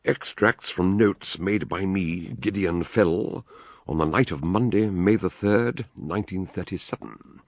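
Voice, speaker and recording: An actor playing an older British detective, deliberate and weighty, narrating his case notes in a radio drama. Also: deep voice, no room sound. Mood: measured, grave.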